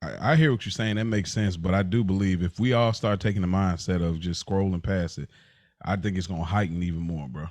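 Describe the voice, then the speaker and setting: A man's voice: low voice, fairly even intonation, fairly quick, darkish tone, dry recording. A man co-hosting a podcast in casual, slangy discussion, conceding points before he pushes back. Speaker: podcast co-host.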